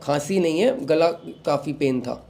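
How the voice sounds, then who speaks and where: A young man, recovering from a sore throat, hosting a devotional talk and giving calm advice to followers while mixing in English phrases. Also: on the high side, normal speed. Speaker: satsang host.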